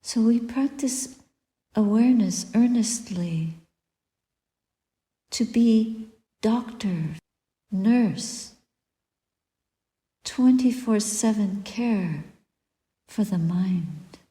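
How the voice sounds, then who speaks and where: A woman guiding a meditation as a Buddhist teacher, speaking softly and deliberately in short phrases with long pauses and breathy endings. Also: mid-range voice, lively intonation. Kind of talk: guided meditation, spoken dharma reflection. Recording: echoey room with long reverb.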